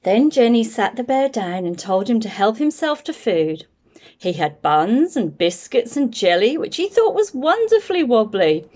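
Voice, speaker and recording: Female storyteller for young children, slightly high voice, highly varied intonation, deliberate picture-book delivery. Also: big pitch swings, darkish tone.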